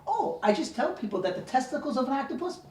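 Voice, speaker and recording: A woman's voice: sits high, big pitch swings, fast, darkish tone. Woman as nerdy male know-it-all: eager, pedantic, oblivious, comedic sketch, distant echoey sound. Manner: earnest, pedantic. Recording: echoey room, distant mic, faint noise.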